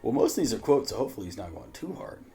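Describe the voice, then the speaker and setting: A man's voice: lowish voice, wildly swooping pitch, rapid-fire, creaky, warm tone. A man hosting a reaction show, chatting casually. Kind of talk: casual chat. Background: music.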